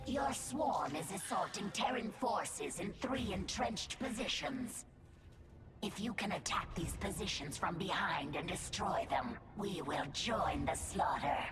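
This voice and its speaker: A woman voicing a cold, eerie alien hive adviser in a video game, measured and breathy. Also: lowish voice, lively intonation. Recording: echoing, loud game music behind.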